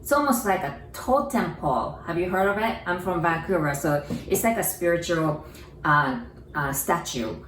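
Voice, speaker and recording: A woman's voice: fairly low pitch, expressive, normal speed, a bit bright, a little hiss or hum. A woman with a Japanese accent, a native Japanese speaker, teaching an online lesson, patiently repeating words and asking learners questions. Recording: echoey room, mic at a distance.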